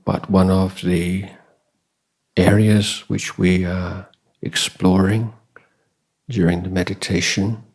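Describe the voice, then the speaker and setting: A man with a deep, very husky voice guides a meditation. He speaks slowly in an even, level tone. His phrases are short and measured, with long calm pauses between them. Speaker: Buddhist monk, meditation teacher. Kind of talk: guided meditation dharma talk.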